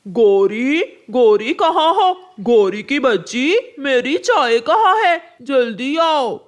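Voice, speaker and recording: A woman's voice: high-pitched, sing-song, slow pace, exaggerated voice. A woman voicing a cruel, bullying crow husband in a cartoon story, scolding and barking orders. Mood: angry. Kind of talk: Hindi cartoon story acting.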